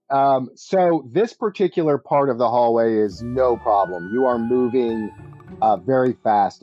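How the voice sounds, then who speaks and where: A man running a tabletop roleplaying game as game master, casual and conversational, with some halting phrasing. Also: medium pitch, warm tone. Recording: dull, muffled audio with music behind. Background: background music.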